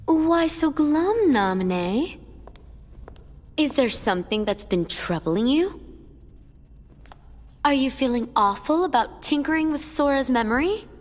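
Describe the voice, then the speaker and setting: A woman's voice: high-pitched, expressive, unhurried, pauses often. Sneering female villain. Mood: mocking. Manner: taunting, sneering, condescending. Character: cruel mocking young female villain. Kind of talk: video game cutscene acting. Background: game music.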